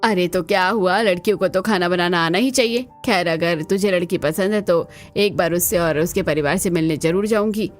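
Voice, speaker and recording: A woman's voice: on the low side, normal speed, few pauses, soft background music, lightly acted. A woman voicing a demanding, proud middle-aged mother-in-law in a family cartoon, firm and emphatic. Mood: stern.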